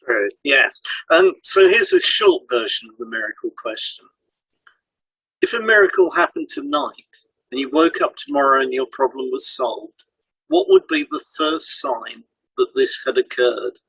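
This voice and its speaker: A man's voice: mid-range voice, huge pitch swings, unhurried, gravelly, fairly bright tone, slightly rough, no echo, poor audio. A man co-hosting an educational podcast, reading scripted explanations in an instructive way. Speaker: podcast co-host and hypnotherapy trainer. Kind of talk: scripted educational podcast dialogue, read aloud.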